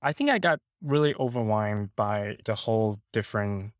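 Podcast guest: man, Hong Kong accent, lowish pitch, casual storytelling, very dry sound. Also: animated, normal speed, dry recording. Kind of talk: casual interview, personal storytelling.

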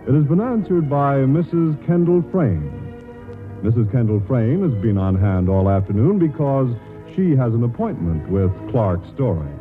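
A man narrating a 1940s radio play in a measured, dramatic manner. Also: mid-range voice, very animated. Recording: tinny old radio broadcast with music.

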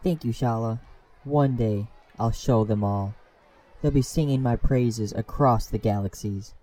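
A man's voice: medium pitch, unhurried, mellow, close to an everyday voice. A man voicing an earnest, dreamy and defiant alien boy, eagerly declaiming in a comic dub. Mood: wistful, determined. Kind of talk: comic dub character acting.

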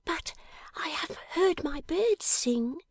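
A woman voicing a small young dressmaker girl in a much higher, bright, eager voice, often half-whispered. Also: expressive, slow pace, no room sound, heavily acted. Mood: tender, eager. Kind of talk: audiobook character voice.

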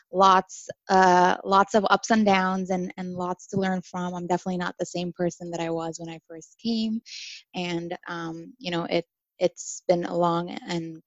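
A woman's voice: fairly low pitch, no room sound. Chatty young woman on a lo-fi line. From Syria, raised in Dubai. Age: 20s. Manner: animated, chatty, with many fillers and laughs. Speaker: podcast guest, former international student. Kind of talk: casual interview chat.

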